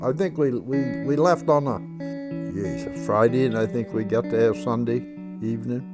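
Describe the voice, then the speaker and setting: A man's voice: medium pitch, moderate pace. A man, recalling his life in a terse, plainspoken way. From Maine, USA. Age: 80s. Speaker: grandparent being interviewed. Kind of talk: casual personal reminiscence. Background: loud acoustic guitar music.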